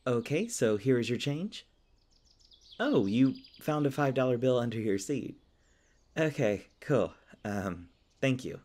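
A man's voice: mid-range voice, lively intonation, a little slow, darkish tone. A man playing a polite, hesitant, folksy elf park ranger in an audio roleplay. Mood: flustered. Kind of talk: ASMR audio roleplay acting.

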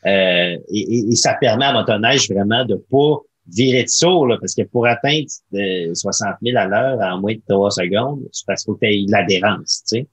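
A man's voice: fairly low pitch, moderate pace, few pauses. Enthusiastic Quebec French podcast host, man. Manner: enthusiastic, colloquial. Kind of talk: casual podcast chat. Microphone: close studio microphone on arm, headphones.

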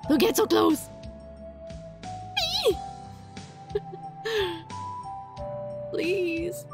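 A woman reading a visual novel aloud as a let's play, dramatic and playful, in a giggly, flustered, excitable manner. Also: high voice, a little slow. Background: music.

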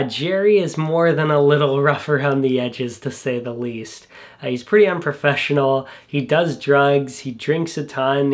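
Congested young man reviewing films. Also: medium pitch. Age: young adult. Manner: relaxed, enthusiastic, frequent uh fillers. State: congested from a cold. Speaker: film reviewer. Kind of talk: casual scripted review. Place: small bedroom studio indoors.

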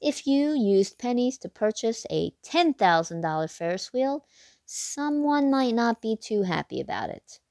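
A woman hosting a read-aloud, reading a children's picture book expressively, with big excited leaps in pitch. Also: a little slow, creaky, dry recording, clean studio sound.

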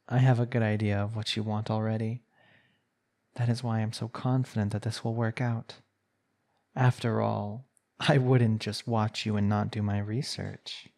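A man performing an ASMR roleplay as a smug, coaxing young villain hypnotist, speaking in a soothing, deliberate way. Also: fairly low pitch, creaky, darkish tone. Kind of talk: ASMR roleplay acting, slow hypnotic monologue.

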